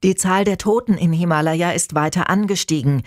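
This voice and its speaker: A woman's voice: fairly low pitch, brisk, a little mellow, no echo. A woman reading scripted radio news as an anchor, close to a studio microphone.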